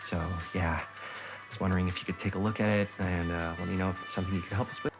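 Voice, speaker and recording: A man's voice: low voice, level tone, fast talker, over music. An actor playing a nervous but jokey young man, an amateur film actor, with an American accent and a casual, hesitant manner in a video game. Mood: awkward, apologetic. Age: young adult. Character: nervous, self-assured-joking teenage guy, amateur actor. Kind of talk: naturalistic video game acting.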